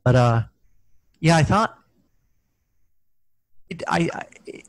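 A man's voice: fairly high pitch, expressive, drawn-out words, no echo, poor audio. A man in his forties, an author guest on a video call, talking casually with many ums and restarts through a headset mic in a small office. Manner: hesitant, many ums and restarts, gestures with hands. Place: small office with corkboard, indoors.

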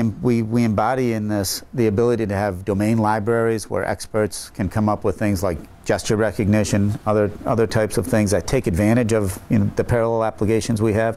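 A man's voice: on the low side, a little mellow, slight background noise. A middle-aged man earnestly explaining technical ideas to camera, often restarting and repeating words, on a lapel mic in a meeting room. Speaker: chip company executive.

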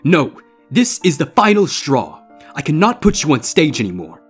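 A man's voice: a little high, huge pitch swings, moderate pace, breathy edge, faint music behind, voice pushed up, exaggerated voice. A man voicing a horrified, exasperated male stage magician who scolds vehemently in a storybook reading. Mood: outraged, desperate.

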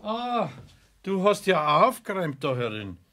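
A man's voice: high-pitched, very animated, moderate pace, bright, forceful tone, heavily acted. A man playing a nosy, chatty mechanic with a Bavarian accent in a children's radio play, hesitant and insinuating. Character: nosy, chatty, fussy male mechanic friend.

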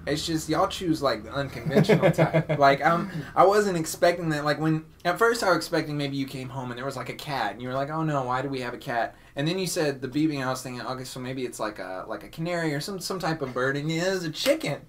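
A man's voice: fairly high pitch, quick pace, few pauses. A man hosting a podcast in a casual, conversational style. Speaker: podcast host.

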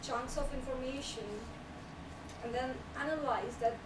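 A woman's voice: sits high, steady tone, measured pace. A young woman giving an earnest, explanatory talk on technical writing at a small meetup, recorded by a far camera in a small room. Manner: earnest, explanatory, laughs lightly at times. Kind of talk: presentation talk. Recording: echoey room, voice from afar, loud background noise.

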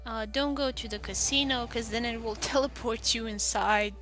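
A woman's voice: fairly high pitch, fairly even intonation, moderate pace, a bit bright, soft background music. A woman giving casual game walkthrough commentary, thinking aloud in a hesitant way. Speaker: gaming video host.

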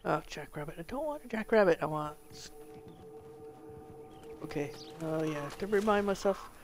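A game streamer giving casual live commentary, laughing often and correcting themselves, with a voice that is going hoarse. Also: mid-range voice, animated, moderate pace, soft background music.

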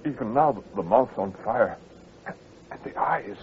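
A man's voice: lowish voice, animated, normal speed, natural voice. An actor playing a shaken, frightened male aristocrat with panicky, breathless exclamations, in 1940s radio acting style. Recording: muffled, hissy 1940s radio recording.

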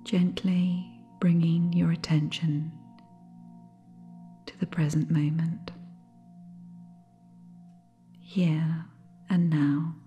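A woman guiding a sleep meditation in a soothing, gentle, unhurried way, with a soft, breathy, half-whispered voice. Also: low-pitched, little pitch movement, dull audio, soft background music. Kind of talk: guided sleep hypnosis meditation, scripted.

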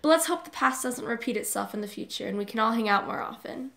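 Upbeat, kind high school girl played by a woman, higher voice, audio drama role. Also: expressive, fast talker, creaky, pushed and bright, exaggerated voice. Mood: cheerful. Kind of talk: amateur audio drama acting.

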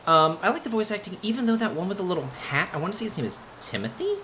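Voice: medium pitch, sing-song, rapid-fire, sharp tone, a little hiss or hum. A chatty, playful let's play host giving casual, exclamatory commentary on a video game. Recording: game music behind the voice. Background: video game music.

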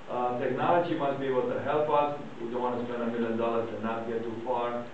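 A middle-aged man with a Romanian accent giving a conference lecture from a podium, brisk and conversational, asking questions and answering them himself. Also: medium pitch, flat delivery, slight background noise. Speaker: heart surgeon lecturer. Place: large darkened conference hall. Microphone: podium mic, filmed by a far camera. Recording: echoey hall from far off, dull.

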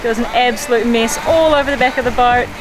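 A woman's voice: a little high, fast talker, background noise. A young woman chatting to a handheld camera on a sailboat at sea, upbeat and full of ums. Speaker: vlogger sailing with her partner. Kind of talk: casual vlog narration to camera. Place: cockpit of a sailboat at sea, outdoors. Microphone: handheld camera at arm's length.

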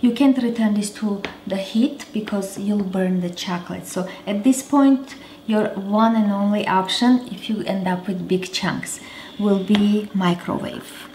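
Slow-talking woman hosting a cooking show. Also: medium pitch, a little mellow, slight background noise. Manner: chatty, talks while cooking. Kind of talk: home cooking demonstration. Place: home kitchen, indoors. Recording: roomy kitchen with faint clatter.

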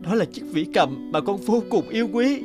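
A man voicing a devoted, kindhearted young fiddler in a fairy-tale cartoon, earnest and expressive. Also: high-pitched, moderate pace. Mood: respectful pleading. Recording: music under the voice.

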